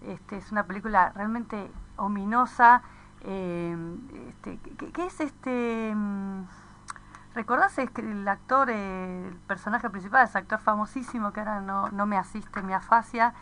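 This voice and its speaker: A woman's voice: medium pitch, a little slow, fairly bright tone, a little hiss or hum. A woman in a conversational radio discussion, thinking aloud with hesitations and frequent tag questions.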